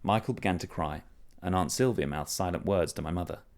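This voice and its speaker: Low, gravelly male story reader. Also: a little fast, darkish tone. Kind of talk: scripted narration of a short story.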